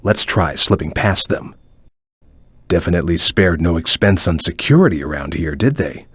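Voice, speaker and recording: A man voicing a stern, watchful cartoon ninja guide in a video game, with a measured, formal, cautious way of advising. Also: deep voice, expressive, a bit bright, husky, dry recording, studio quality, exaggerated voice. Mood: watchful, serious. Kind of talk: video game voice acting, short hint lines.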